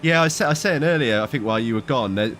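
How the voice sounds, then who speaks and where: A man's voice: mid-range voice, animated, very fast, a bit bright, a little hiss or hum. A man chatting casually while sim racing with teammates, a bit stuffy from hay fever, rambling and laughing easily.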